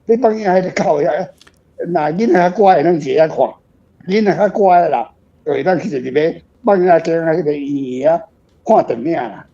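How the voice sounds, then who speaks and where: An older man with a Taiwanese accent speaks in a husky, fairly high voice with a mellow tone. He rambles frankly and pokes fun at himself, like a writer chatting about his work. The recording is slightly dull. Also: a little fast. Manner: rambling, frank, self-deprecating. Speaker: author, guest on a radio show. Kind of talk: casual reflective book talk.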